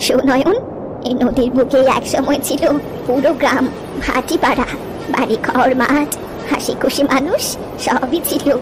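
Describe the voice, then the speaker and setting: A woman playing a wistful, gentle old village grandmother who tells river lore with poetic, reflective pauses in a cartoon story. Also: high-pitched, fairly even intonation, noisy background. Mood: sorrowful, wistful. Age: older.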